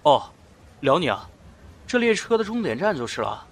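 A man's voice: on the high side, very animated, normal speed. An actor playing a polite, jumpy young man in a horror audio drama. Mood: puzzled, polite.

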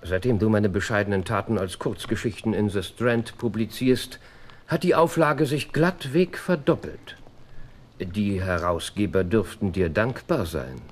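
An actor playing a sharp, brilliant, self-assured detective in a German radio play, speaking precisely and theatrically. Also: male voice, fairly low pitch, unhurried, noisy background, lightly acted. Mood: dry, teasing.

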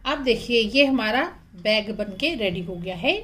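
A woman's voice: medium pitch, animated, measured pace, a bit bright. A woman hosting a craft tutorial, narrating step by step in a plain, instructional manner.

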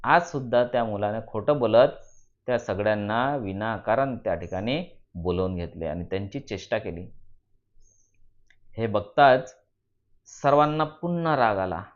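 Male storyteller on a slightly dull recording. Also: lowish voice. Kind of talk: moral storytelling for students.

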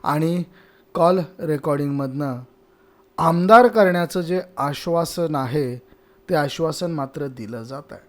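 A male news host. He delivers commentary to viewers, stressing and restating his points. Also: a little high.

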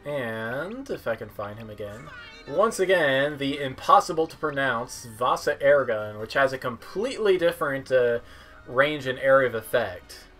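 A man's voice: mid-range voice, expressive, moderate pace, a bit bright. A young man giving casual, wry, rambling commentary on a video game. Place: indoor room at home. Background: game music.

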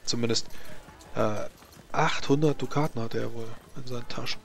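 A man improvising in-character fantasy roleplay talk, halting as he searches for words. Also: medium pitch, steady tone, vocal fry, a crowd in the background.

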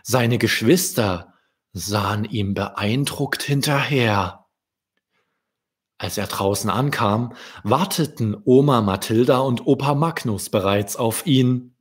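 A young man from Germany telling stories to children in a deliberate manner, as an author hosting his readings. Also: medium pitch, breathy edge. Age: young adult. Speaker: children's author, channel host. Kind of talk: storytelling for children. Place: small indoor room. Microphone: close desk microphone with pop shield.

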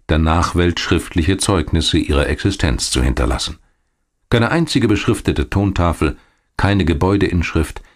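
A male documentary narrator with a deep, low-pitched voice. He reads scripted material in a measured, factual way, setting out each sentence as information.

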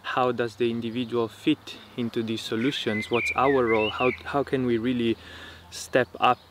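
A young man talks calmly about his work, his voice sitting at a middle pitch. He is recorded outdoors in a dry rural field, where the countryside birds and insects come through clearly behind him. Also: normal speed, heavy background noise. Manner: relaxed, thoughtful, with frequent fillers. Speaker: permaculture teacher. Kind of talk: casual interview talk.

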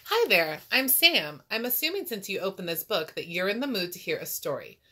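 A woman's voice: medium pitch, big pitch swings, brisk, sharp tone. A woman voicing a genial, bossy male patriotic storyteller in a children's storybook, with a hearty, declarative delivery. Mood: hearty welcome. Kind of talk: children's storybook read-aloud.

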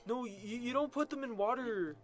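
A performer voicing a hesitant, exasperated cartoon pony in a comedic parody dub, with halting, stammering delivery. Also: mid-range voice, soft background music, natural voice. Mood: baffled.

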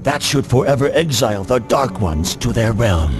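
A man's voice: mid-range voice, expressive, moderate pace, long reverb tail, lightly acted. Man as a swooping, portentous arcane scholar. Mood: solemn instruction. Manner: theatrical, portentous, scolding. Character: fussy, bossy male scholar-mystic of ancient arcane lore. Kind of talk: video game cutscene acting. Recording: music behind the voice.

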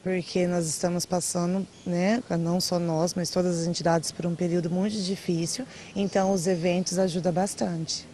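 A young woman answers interview questions outdoors. Her voice is on the low side and her manner is casual and chatty. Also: level tone, moderate pace, few pauses, warm tone, a little hiss or hum. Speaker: marketing manager of a charity. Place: outdoors by a brick wall.

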